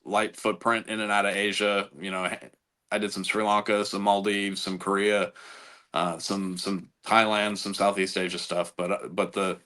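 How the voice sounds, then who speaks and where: A middle-aged man from the United States talks as a podcast guest, his voice sitting low. He speaks in a casual, halting way, doubling back on words and restarting sentences as he recalls his Army career. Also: pushed and bright. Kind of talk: casual conversational interview.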